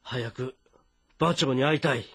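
A man's voice: medium pitch, a little slow, over loud music, close to an everyday voice. A man playing an anxious, weary fortress commander of ancient China in a dramatic, earnest, brooding way. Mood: guarded, urgent. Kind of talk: dramatic game voice acting with inner monologue.

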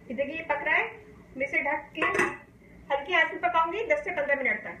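A high-pitched woman from Pakistan walks viewers through a recipe in her home kitchen, explaining each step in a calm, homely way. The kitchen sounds echoey, and a faint hum runs underneath. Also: fairly quick, dull audio. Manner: calm, homely explaining, often saying "dekhiye ji". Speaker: cooking channel host. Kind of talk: step-by-step cooking demonstration.

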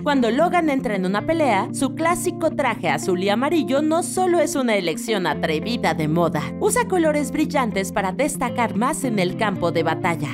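Female countdown host, fairly high voice, expressive and playful, scripted narration over prominent music. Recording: voice over loud music bed. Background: loud music.

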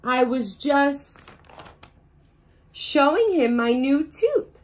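Gentle storybook shark, male, who insists he is misunderstood; a woman reads him aloud, slow and light-voiced. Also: high voice, sing-song, pitched above the performer's own voice, exaggerated voice. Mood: defensive. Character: big misunderstood male shark, sweetly defensive. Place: bedroom.

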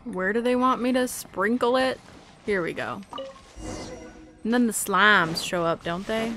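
A woman's voice: medium pitch, measured pace, pushed and bright. A woman giving casual gameplay commentary, chatting and muttering to herself as she plays. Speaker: gaming video host. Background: game music.

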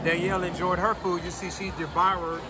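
A man in his thirties vlogging about food, talking into a phone at arm's length with plenty of excited exclamations. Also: high-pitched, fairly quick. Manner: enthusiastic, exclaims wow often. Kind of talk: casual vlog. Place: busy indoor restaurant. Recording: loud restaurant crowd and music behind.

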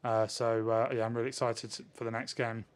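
A man, a rugby player answering questions in a post-match interview in a measured way. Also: medium pitch, level tone. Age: 20s. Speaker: professional rugby player, winger. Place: indoors in front of a sponsor backdrop. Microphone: handheld interview microphone held at chest height.

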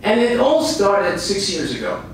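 A man in his thirties from Iraq gives an earnest seminar talk to a small audience, repeating lines for emphasis and pausing between phrases. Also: sits high, very animated, a little hiss or hum. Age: 30s. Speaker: motivational speaker. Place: dim small room with a projector screen and a small audience. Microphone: far camera, no visible mic. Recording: echoey room recorded from far off.

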